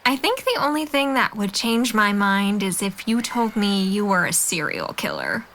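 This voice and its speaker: Bright, earnest young woman, played by an actress. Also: medium pitch, very animated, normal speed, slight background noise. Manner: patient, reassuring, sincere. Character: kind, earnest, encouraging young female friend. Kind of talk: audio drama dialogue.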